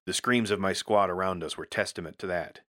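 Deep, bright-edged male story narrator. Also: fairly quick, no room sound, clean studio sound. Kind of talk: scripted first-person audio fiction narration.

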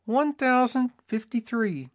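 A man's voice: extremely high pitch, lively intonation, slow, voice pushed up, full character voice. A man voicing a thin, bookish male town assayer with a pale, reedy voice and a precise, formal way of speaking. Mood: prim, precise.

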